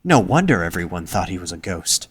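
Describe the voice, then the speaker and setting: A man narrates a dramatic story from a script. His voice is a little on the low side, in the role of an audio drama narrator. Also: very animated, brisk, husky.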